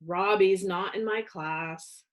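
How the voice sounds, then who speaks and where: A woman's voice: medium pitch, slow, natural voice. A woman voicing a whiny, stubborn second-grade boy in a petulant, complaining way for a storybook. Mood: glum.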